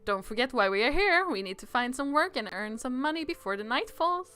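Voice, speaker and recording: Casting: woman as sensible young game prince, earnest, chiding, fairly high voice, light music behind. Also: animated, brisk, bright, forceful tone, smooth.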